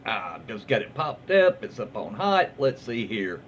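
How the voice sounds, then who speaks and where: A man's voice: on the low side, very animated, unhurried, a bit bright. A man with a Southern US accent reading stories aloud in a casual, folksy, informal way as a host. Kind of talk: casual read-aloud storytelling. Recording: muffled, lo-fi with faint background noise.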